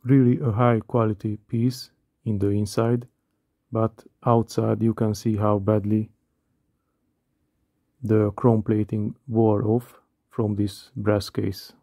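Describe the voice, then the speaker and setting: A man in his thirties from Hungary with a Hungarian accent, calmly narrating a scripted voice-over about watches. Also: on the low side, slow, no room sound, clean studio sound. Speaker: watch collector.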